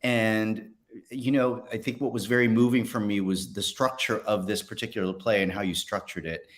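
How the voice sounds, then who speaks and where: A man's voice: on the low side, fairly even intonation, moderate pace. A man conducting a casual, conversational interview with a fellow actor.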